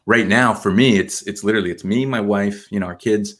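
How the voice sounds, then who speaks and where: Man, 30s, from Argentina. Podcast guest. Lowish voice, easygoing storyteller, casual fillers throughout. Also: fairly quick. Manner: relaxed storytelling, many fillers like "man" and "you know". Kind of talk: casual conversational interview.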